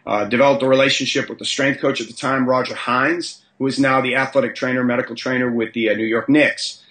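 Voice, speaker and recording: A man's voice: mid-range voice, fairly even intonation, fast talker, a bit bright. A man with a mixed Boston and Southern US accent, an interview guest speaking conversationally and humbly. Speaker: high school strength coach.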